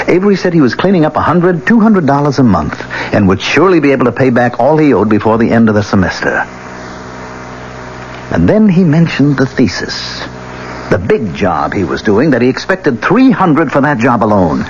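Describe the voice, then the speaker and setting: A man acting in a 1970s radio drama as a coolly polite, relentless accuser, deliberate and insinuating. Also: mid-range voice, lightly acted. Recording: dull, hissy 1970s radio recording.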